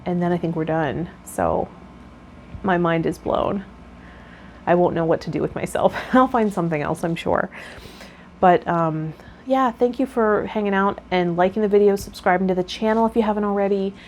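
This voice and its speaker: A woman's voice: on the low side, normal speed, a little mellow. A woman speaking as a tarot vlogger and guide, explaining and chatting directly to viewers. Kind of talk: reading card meanings, then casual chat to viewers. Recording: clear background noise.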